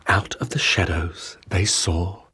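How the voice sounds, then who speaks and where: A man reading children's rhyming stories as an audiobook narrator, with measured, rhythmic, theatrical delivery. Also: sits low, fairly even intonation, sharp tone, breathy edge. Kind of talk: scripted children's storybook narration in rhyming verse.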